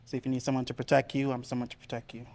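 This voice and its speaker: A Trinidadian man answers questions as a dating show contestant. He talks quickly in an earnest, formal way that tends to repeat itself. His voice is smooth and resonant. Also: mid-range voice, little pitch movement, darkish tone. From Trinidad and Tobago. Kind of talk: casual chat.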